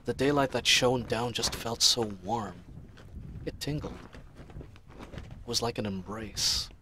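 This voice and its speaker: An idealistic knight captain voiced by a man speaks in a mid-pitched voice, earnest and given to rambling. It is a fantasy audio drama monologue, reflective yet playful, with clear ambient noise laid behind it. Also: slow pace, lightly acted. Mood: wistful, earnest. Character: earnest, chatty young male knight captain, idealistic and teasing. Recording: noisy ambient sound effects bed. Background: added outdoor ambience sound effects.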